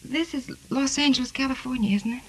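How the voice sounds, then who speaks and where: A woman's voice: sits high, brisk, fairly bright tone, slightly rough, rough recording. A woman playing a frightened, exhausted amnesiac in 1940s radio drama style, hesitant, anxious and pleading. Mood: confused. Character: frightened, exhausted woman who has lost her memory. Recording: vintage 1940s radio recording.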